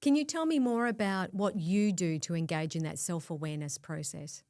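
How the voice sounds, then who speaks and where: A woman's voice: on the low side, normal speed, a little mellow, no echo, studio quality. A woman hosting a leadership podcast, welcoming her guest and affirming his answers. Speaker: podcast host. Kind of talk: interview hosting.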